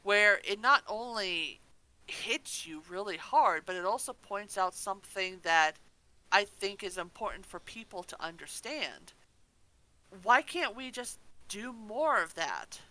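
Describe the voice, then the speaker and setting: Bright, animated woman podcaster. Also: medium pitch, measured pace, rough recording. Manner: emphatic, ranting, freely swearing. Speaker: podcast co-host. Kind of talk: opinionated casual podcast discussion.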